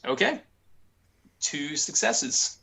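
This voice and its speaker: A man's voice: on the high side, very animated, very slow, sharp tone, slight background noise. A man in casual table talk as a player in a tabletop role-playing game.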